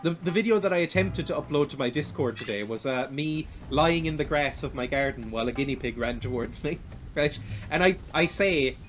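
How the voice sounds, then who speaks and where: A young man in his twenties with a light, naturally high voice, rambling and laughing at himself while he streams a video game. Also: brisk, a little hiss or hum. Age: 20s. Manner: rambling and self-deprecating, laughs often. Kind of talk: casual chat while playing a video game.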